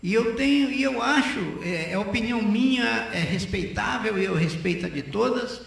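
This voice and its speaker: Older man, strongly echoey high voice. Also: animated, normal speed, vocal fry, a bit bright. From Northeast Brazil. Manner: repeats phrases, emphatic. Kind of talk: opinionated conversational radio talk. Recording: very echoey room.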